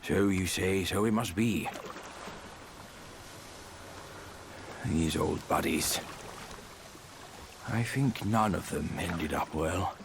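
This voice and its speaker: An actor playing a cheeky, good-natured pig demon companion in a fantasy game, casual and wry, quick to protest. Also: male voice, low voice, gravelly, noisy background, full character voice. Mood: wry, resigned. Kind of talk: fantasy game cutscene acting.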